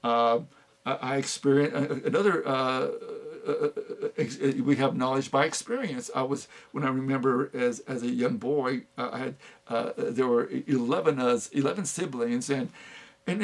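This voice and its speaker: An older man giving an unscripted talk on philosophy, halting often with fillers and restarts. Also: medium pitch, husky. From United States. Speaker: speaker on philosophy.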